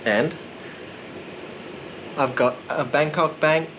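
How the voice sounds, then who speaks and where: A man narrating a casual vlog in a relaxed, rambling, self-deprecating way. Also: a little high, takes it slowly, dull audio, background noise. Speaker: vlogger.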